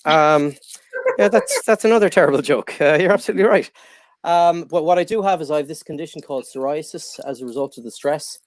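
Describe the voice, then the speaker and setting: A man doing a deadpan stand-up set from home. He halts often and fills his lines with ums and uhs. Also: a little high, no echo. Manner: halting, full of ums and uhs, self-deprecating. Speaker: stand-up comedian. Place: at home, on a live online show.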